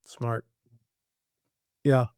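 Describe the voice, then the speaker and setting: A man's voice: drawn-out words, darkish tone, no echo, studio quality. A man hosting a casual interview, asking questions informally and often affirming what his guest says. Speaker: podcast host, interviewer.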